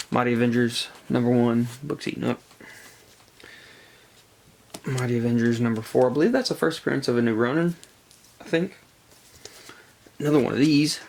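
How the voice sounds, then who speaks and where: A man with a congested, gravelly voice from a cold, chatting casually as he shows off a collection haul in a halting way. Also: mid-range voice, a little hiss or hum. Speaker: comic book collector presenting a haul. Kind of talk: casual show-and-tell vlog chat.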